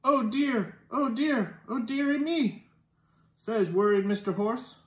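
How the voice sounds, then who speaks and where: Slow-paced children's storytime reader in a roomy space. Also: mid-range voice, a little hiss or hum. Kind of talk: children's picture book read-aloud. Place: living room. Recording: roomy indoor echo.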